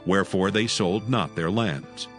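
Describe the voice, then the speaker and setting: A man's voice: low voice, unhurried, a bit bright. A man narrating a dramatized scripture story from a script. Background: faint music.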